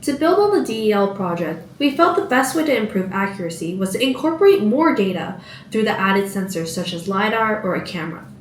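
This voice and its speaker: A woman's voice: mid-range voice, sing-song, a little fast. A teenage girl reading a scripted research presentation as a student. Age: teens. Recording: echoey, distant mic, background noise.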